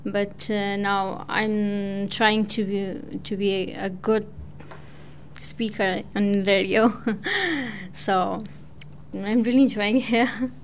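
A young woman from Pakistan, Persian her first language, talks slowly in careful, hesitant English. Her voice stays level and even, with little rise or fall. A faint hiss of noise sits behind her. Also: medium pitch. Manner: hesitant, halting non-native English with fillers. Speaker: English student speaking on community radio. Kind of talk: prepared personal talk.

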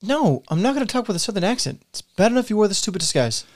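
A man's voice: sits high, sing-song, fast talker, heavily acted. A man playing an exasperated, irritable friend in a comic sketch, foul-mouthed and confrontational. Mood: weary irritation. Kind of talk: scripted comic sketch dialogue.

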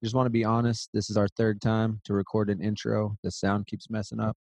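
Joking podcast host, lowish voice. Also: male voice, flat delivery, brisk, darkish tone, no echo. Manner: joking, hyped-up banter. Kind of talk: casual podcast intro. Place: Redding, California.

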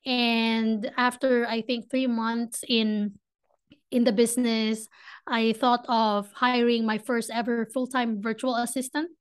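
A young woman from the Philippines with a Filipino accent, a podcast guest talking casually and eagerly, speeding up when she gets excited. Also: fairly high pitch, slow pace, clean tone. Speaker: podcast guest, business founder. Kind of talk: casual interview talk.

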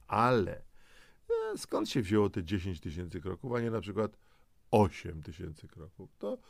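Low, creaky-voiced man explaining things. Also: darkish tone, no room sound. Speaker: co-host of a health audio programme. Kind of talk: conversational explainer addressed to the listener.

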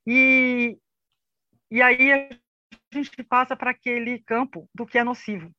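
Brazilian guy explaining stuff like an informal online lecture, pretty high voice, dry slightly dull lo-fi audio. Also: level tone, slow, bright, forceful tone, no echo. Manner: didactic, conversational, frequent tag questions. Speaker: panelist presenting on disinformation. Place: online live session recorded for a podcast.

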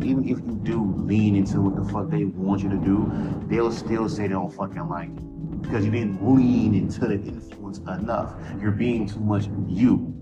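A man with a low, deep monotone voice delivers a blunt, profane, rambling podcast rant. The recording sounds dull and lo-fi. Loud guitar music plays the whole way behind him. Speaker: podcast host and rapper. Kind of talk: casual solo monologue.

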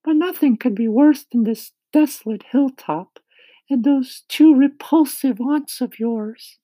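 Kind lady ladybug for a storybook: very high, slow, emphatic, bossy explainer. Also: warm tone, dry recording, low-quality recording. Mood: reassuring, emphatic. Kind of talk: children's storybook reading.

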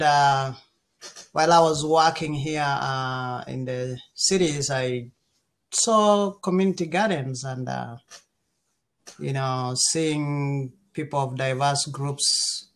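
A middle-aged man with a Kenyan accent, talking casually as an interview guest and pausing often mid-sentence. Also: fairly high pitch, steady tone.

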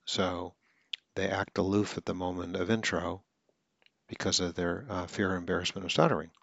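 A man's voice: deep voice, fairly even intonation, moderate pace, creaky, dry recording, highs cut off. A man who stutters now and then, hosting a podcast and coaching listeners in an earnest, instructional monologue.

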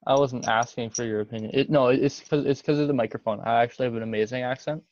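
A teenage boy hosting a casual chat on a call, joking, boastful and quick to bicker. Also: mid-range voice, fairly even intonation, a little mellow, no echo. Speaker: host. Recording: dull lo-fi audio, faint noise.

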